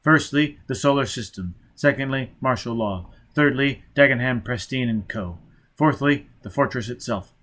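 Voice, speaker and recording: Man acting a driven, manic fugitive, mid-pitched. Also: moderate pace, fairly bright tone, natural voice. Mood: hysterical chanting. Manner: chanting, insistent. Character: driven, half-hysterical fugitive man, mocking and coaxing.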